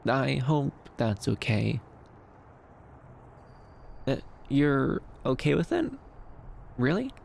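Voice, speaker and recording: A man playing a shy, gentle young dream fox companion, speaking softly and hesitantly in a soothing ASMR roleplay. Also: medium pitch, sing-song, takes it slowly, creaky, pauses often, slight background noise, exaggerated voice. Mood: bashful, tender. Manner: soft-spoken, bashful, hesitant, soothing. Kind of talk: ASMR roleplay, soft comforting monologue.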